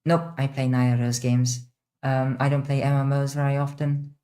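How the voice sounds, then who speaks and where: A young woman with a mixed international accent, answering chat questions on a casual livestream, blunt and quick to swear. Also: a bass voice, level tone, mellow. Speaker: streamer.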